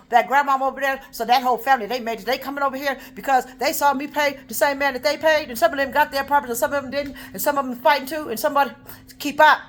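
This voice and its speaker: A woman ranting in a rambling, profane monologue, swinging between loud outbursts and low muttering. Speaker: vlogger.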